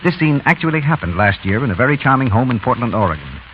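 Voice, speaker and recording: A man's voice: medium pitch, sing-song, fast. A man reading scripted advertising narration as a 1930s radio announcer. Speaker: commercial announcer. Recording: muffled, slightly noisy 1930s radio.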